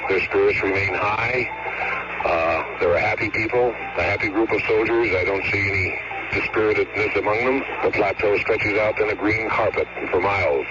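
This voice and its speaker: A man reporting on location as a news correspondent, slow and descriptive with some hesitations. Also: fairly low pitch, fairly even intonation, lo-fi sound. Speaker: field correspondent. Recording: noisy outdoor field report on old radio.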